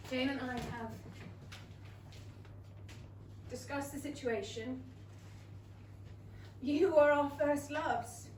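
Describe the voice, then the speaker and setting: A principled young gentlewoman of the Regency, earnest and spirited in a high voice. The stage reading is recorded from a little way off in a roomy, echoing theatre. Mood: wistful, tender. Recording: roomy theatre, distant mic, faint noise.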